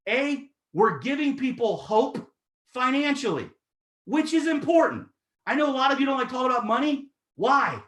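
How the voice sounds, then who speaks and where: A man in his thirties giving an energetic, persuasive sales pitch, talking straight to his audience. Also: moderate pace, roomy sound. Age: 30s. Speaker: network marketing presenter.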